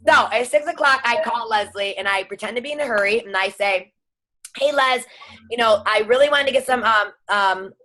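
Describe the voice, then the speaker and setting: A woman's voice: on the high side, moderate pace, sharp tone. A woman leading an informal training on a group call, instructive and emphatic, repeating her key points. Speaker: sales team trainer. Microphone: online group call.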